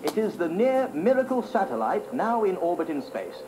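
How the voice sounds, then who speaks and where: A man's voice: sits high, very animated, moderate pace, noisy background. A man playing an earnest 1960s television presenter making a formal live broadcast announcement. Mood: earnest, grand. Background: loud music.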